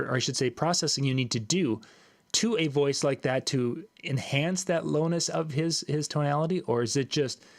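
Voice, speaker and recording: A male host chatting casually, asking questions and often restarting his phrases. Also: medium pitch. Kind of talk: casual chat.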